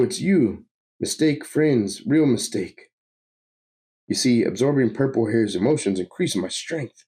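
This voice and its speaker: A man's voice: medium pitch, mellow, voice pushed up, close to an everyday voice. A man voicing a manic, sadistic villain who gloats theatrically while reading a comic book story aloud.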